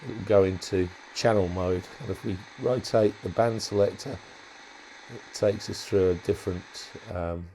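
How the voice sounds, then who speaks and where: A man giving a casual, unscripted walkthrough as a hobbyist presenter, thinking aloud in a halting way. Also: fairly low pitch, fairly even intonation, dark tone, a little hiss or hum, poor audio. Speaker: hobbyist presenter demonstrating a modified radio.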